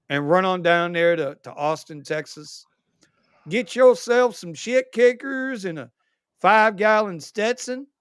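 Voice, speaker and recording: A man hosting a casual livestream chat, reading viewer comments aloud in an animated way. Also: high-pitched, clear, resonant tone, no room sound, clean studio sound. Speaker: livestream host.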